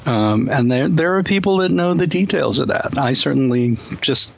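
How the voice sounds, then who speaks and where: A man's voice: medium pitch, expressive, normal speed, gravelly, highs cut off. A man hosting a casual discussion, speaking thoughtfully with frequent ums and you-knows. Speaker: host.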